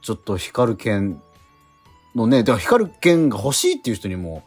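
A man's voice: on the low side, huge pitch swings, a little fast, slightly rough, faint music behind. A man hosting a radio talk show, chatting casually, nodding along constantly and teasing his guest. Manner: constant backchannel nods, friendly teasing. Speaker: radio host. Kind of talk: casual chat.

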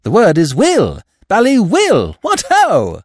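A man plays a young upper-class British schemer in a comic storybook. His voice sits high and leaps about wildly. He is breezy, eager and given to slangy exclamations. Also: huge pitch swings, normal speed, dry recording, studio quality, heavily acted. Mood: buoyant excitement. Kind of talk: comic audiobook character reading.

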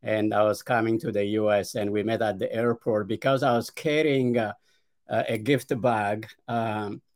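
A man's voice: fairly low pitch, steady tone. Ethiopian-accented man telling his story. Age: middle-aged. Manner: hesitant, frequent "uh" pauses and repeated starts. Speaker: podcast guest. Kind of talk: conversational storytelling.

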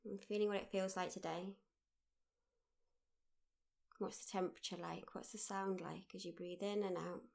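A woman leading a guided mindfulness meditation, speaking gently in short, unhurried phrases with pauses. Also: medium pitch, fairly even intonation, gravelly. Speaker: meditation guide, workshop leader.

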